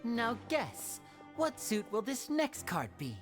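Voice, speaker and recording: A confident, lively young stage performer. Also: female voice, a little high, slow. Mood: playful. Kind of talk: video game voice acting. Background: background music.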